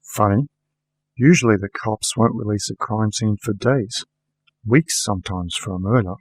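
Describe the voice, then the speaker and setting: A man's voice: lowish voice, moderate pace, slight background noise, close to an everyday voice. A man playing a wry, streetwise private investigator in a radio play, laconic and colloquial. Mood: dry, probing. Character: wry, streetwise male private investigator narrating his case. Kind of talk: radio play dialogue and noir detective narration. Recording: music under the voice.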